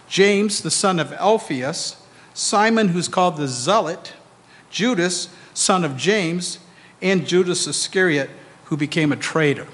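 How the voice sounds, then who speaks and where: A man in his sixties reads scripture aloud to a church. His voice sits a little high, and the stand microphone carries the long reverb of the hall. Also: fairly bright tone. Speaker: scripture reader at a church service. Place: church sanctuary, indoors.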